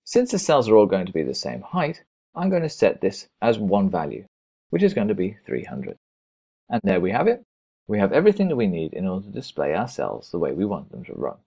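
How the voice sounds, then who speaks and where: Low-voiced male coding instructor, lively intonation. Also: brisk, vocal fry, husky. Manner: methodical, explanatory. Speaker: programming instructor. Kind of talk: screencast tutorial narration, step by step.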